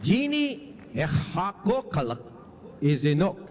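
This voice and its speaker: Man, high and lively voice, solemn formal presenter, echoey hall. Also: takes it slowly, a faint crowd, a little hiss or hum. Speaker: presenter at a commemorative event. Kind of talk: formal prepared speech.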